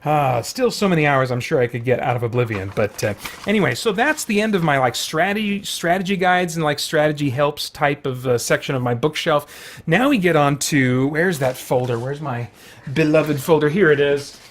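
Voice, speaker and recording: A man's voice: on the high side, normal speed. A man hosting a casual vlog, chatting in a meandering way and often breaking into his own sentences with asides. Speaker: video host showing a collection. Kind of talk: casual rambling vlog chat.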